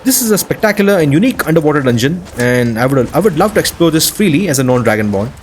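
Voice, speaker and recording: A man's voice: on the high side, sing-song, fast, slight background noise. A man hosting a video, narrating gameplay in a casual, enthusiastic, lightly scripted way. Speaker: video host. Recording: music behind the voice. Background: background music.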